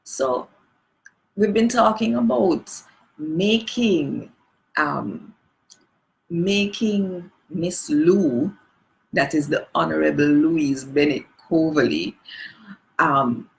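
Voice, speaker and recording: Slow, gravelly low-voiced Jamaican woman. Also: poor audio. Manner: earnest, emphatic, rising on key phrases, rhetorical questions. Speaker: worship leader. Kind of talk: livestreamed spoken reflection on worship. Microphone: phone or webcam on a live stream.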